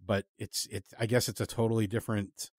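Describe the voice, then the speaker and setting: A man hosting a casual interview, speaking in an earnest, rambling and halting way. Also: lowish voice, level tone, darkish tone, no echo, clean studio sound. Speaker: podcast host and interviewer.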